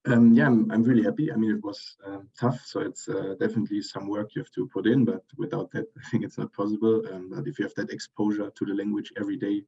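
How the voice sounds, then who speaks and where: A young man with a deep, flat and gravelly voice answers interview questions, pausing often with "um" and restarting his sentences. His tone is dark and mellow, and the recording sounds a bit dull and lo-fi. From Germany. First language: German. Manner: hesitant, many fillers and restarts. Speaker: interview guest. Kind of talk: casual interview.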